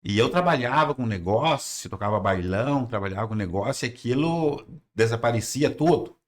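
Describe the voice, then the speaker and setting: A man's voice: medium pitch, animated, moderate pace. A man in his sixties from southern Brazil, a pastor telling his life story in plain, rambling rural speech. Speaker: pastor and musician guest. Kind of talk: casual interview answers.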